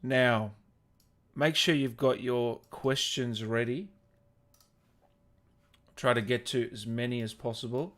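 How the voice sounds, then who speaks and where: A man with a mid-pitched voice hosts a casual livestream. He takes his time as he speaks, with an informal, matey, joking manner. He talks straight to viewers as he goes. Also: fairly even intonation, slow pace, long pauses, a bit bright. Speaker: livestream host reading viewer comments.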